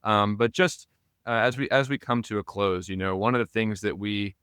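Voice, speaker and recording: A man's voice: lowish voice, fairly even intonation, normal speed, fairly bright tone, smooth, no echo, clean studio sound. A man hosting a podcast, chatting casually and joking with his guest. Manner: friendly, joking. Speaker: podcast host. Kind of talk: casual interview chat.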